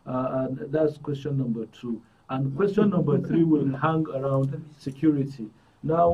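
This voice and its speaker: A man with a Nigerian accent and a husky voice, hosting a current affairs interview in formal, wordy, measured phrases. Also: medium pitch, dark tone. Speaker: host. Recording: dull, distant studio audio.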